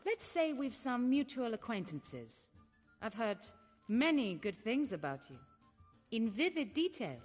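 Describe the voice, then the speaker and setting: An actress playing a poised, worldly mystery woman in a video game, speaking in a measured, wry and cultured way. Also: on the high side, sing-song, in a large hall. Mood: sly, teasing. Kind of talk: video game dialogue acting. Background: background music.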